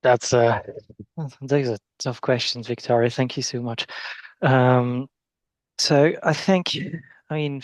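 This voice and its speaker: A man from Belgium, an international lawyer, speaking on a panel over a video call in a halting way with many restarts. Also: mid-range voice, fairly even intonation, no echo. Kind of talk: webinar panel introduction.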